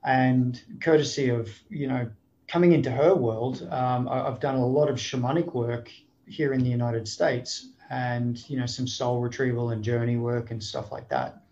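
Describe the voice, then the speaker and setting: Guest, Australian man, middle-aged, reflective storyteller, mid-pitched, slightly muffled line. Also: fairly even intonation, moderate pace, darkish tone, a little hiss or hum. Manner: thoughtful, frequent restarts and 'you know'.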